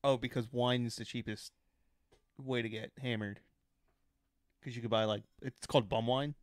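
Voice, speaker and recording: Male podcast co-host, crisp voice, dry sound, jokey and casual. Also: mid-range voice, fairly even intonation, moderate pace, no echo. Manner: joking, chipping in with asides. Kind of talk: casual chat.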